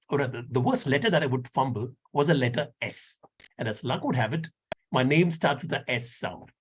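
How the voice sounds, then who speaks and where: A man's voice: mid-range voice, slightly rough, poor audio. A man giving an impromptu speech at an online club meeting, candid and lightly humorous, with a slight stammer. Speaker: impromptu speaker.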